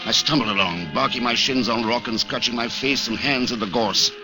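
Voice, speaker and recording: A man's voice: medium pitch, a little fast, natural voice. An actor playing a hunted man who narrates his spy adventure in a tense, dramatic old-time radio style. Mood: urgent. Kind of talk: 1940s radio acting, dramatic first-person narration. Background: music.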